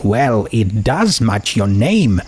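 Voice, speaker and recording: A man's voice: on the low side, big pitch swings, slow pace, darkish tone. A man voicing a bookish, inquisitive teenage girl in a storybook, speaking in an articulate, analytical way. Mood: playful.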